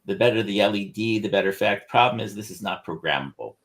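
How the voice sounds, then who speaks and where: A man explaining his inventions on a casual livestream, rambling and halting with many restarts. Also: fairly low pitch, animated, a bit bright. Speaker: inventor presenting research.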